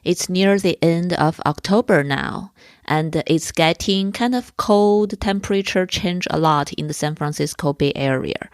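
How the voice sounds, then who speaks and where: A woman with a cold-roughened, hoarse voice, hosting an interview and politely asking questions. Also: lowish voice, measured pace, dry recording, clean studio sound. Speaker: podcast host. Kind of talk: conversational interview.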